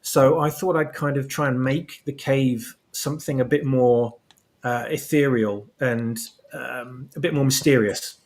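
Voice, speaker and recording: A man's voice: mid-range voice, fairly even intonation, unhurried, a little mellow. A man talking as an interview guest and writer, explaining his ideas thoughtfully and searching for the right words. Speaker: guest, fan fiction writer.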